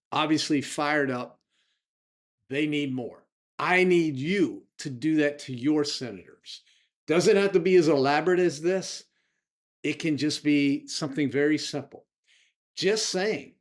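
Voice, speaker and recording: An American man speaking emphatically and indignantly as a political activist making his case. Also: fairly high pitch. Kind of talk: impassioned spoken political advocacy.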